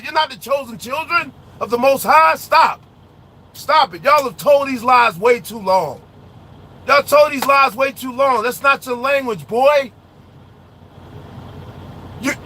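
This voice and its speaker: High, bright-voiced trucker ranting loudly. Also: male voice. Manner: loud, animated, slangy trash talk. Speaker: truck driver vlogger. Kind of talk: casual ranting chat while driving. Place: inside a truck cab on the road.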